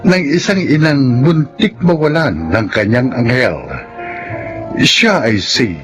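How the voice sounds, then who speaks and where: A man's voice: medium pitch, lively intonation, takes it slowly, over music. A man with a Filipino accent announcing and narrating a radio drama in a dramatic classic radio style.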